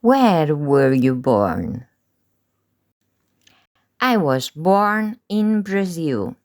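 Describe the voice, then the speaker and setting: A woman leads an English lesson at a crawling, drilled pace, each short phrase set apart. Her pitch sits a little low but swings widely from phrase to phrase, rising sharply on the questions. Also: big pitch swings, darkish tone. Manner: slow, deliberate pronunciation drills with pauses. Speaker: English teacher. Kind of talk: slow drilled language lesson.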